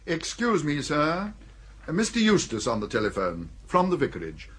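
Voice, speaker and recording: An actor playing a deferential, unflappable English valet, formal, measured and drily understated, in a radio comedy. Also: male voice, fairly high pitch, huge pitch swings, a bit bright, a little hiss or hum. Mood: dry deference. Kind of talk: radio comedy drama acting.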